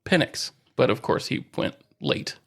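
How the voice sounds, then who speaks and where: A man's voice: lowish voice, sing-song, a little slow, gravelly, a bit bright. A man with an American accent co-hosting a sports podcast in casual, bantering conversation.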